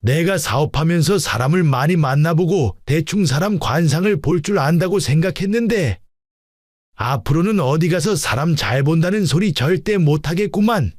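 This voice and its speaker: A man's voice: a little high, expressive, normal speed, a little mellow, no echo, studio quality. A man voicing a wry father who runs a business and laughs off a bad judge of character. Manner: chuckling, wry. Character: amused older father, a businessman.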